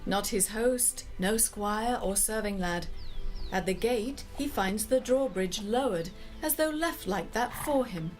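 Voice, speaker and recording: A woman narrating a scripted story in a measured way, addressing the listener directly. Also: mid-range voice, faint music behind.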